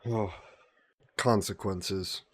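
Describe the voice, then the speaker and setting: A courteous old-world vampire nobleman, acted by a man in an intimate audio drama. His voice sits on the low side, and he speaks in polite, formal phrases, gentle and modest with a touch of wistfulness. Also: fairly even intonation, very slow, husky, exaggerated voice. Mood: wistful, regretful. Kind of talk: scripted one-sided audio roleplay, intimate and conversational.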